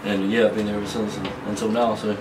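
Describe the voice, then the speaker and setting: A man's voice: on the low side, little pitch movement, fast. A young man with a New Zealand accent, chatting casually as an interview guest, laughing easily and rambling with many restarts. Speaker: guest wrestler. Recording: echoey room, distant mic, faint noise.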